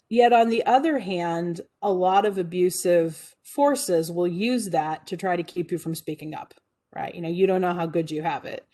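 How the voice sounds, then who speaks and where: A woman's voice: on the low side, warm tone. A woman in her forties giving a talk, telling a personal story in an earnest, reflective way with frequent fillers and restarts. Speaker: guest speaker.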